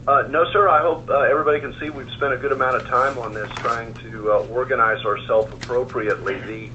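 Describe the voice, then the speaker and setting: A man of average pitch with a bright, forceful tone comments informally on a conference call. The line is lo-fi and a little noisy. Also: fairly even intonation, fairly quick. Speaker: senior executive commenting. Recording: dull, noisy telephone line.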